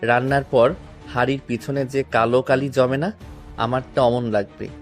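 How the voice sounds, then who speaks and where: A man's voice: mid-range voice, steady tone, moderate pace, soft background music, natural voice. A man acting a humble, self-deprecating young servant in an audio story drama. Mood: dejected, self-deprecating.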